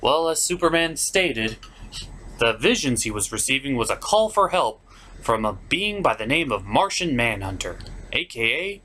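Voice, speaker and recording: A man's voice: medium pitch, sing-song, normal speed, sharp tone. A man hosting a comedic puppet-show review, narrating scripted commentary in a sarcastic manner.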